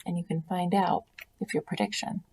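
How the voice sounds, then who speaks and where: A woman reading a children's story aloud expressively, deliberately, with pauses for emphasis. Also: lowish voice, fairly even intonation, a little mellow, a little hiss or hum. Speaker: story reader. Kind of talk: expressive picture-book read-aloud.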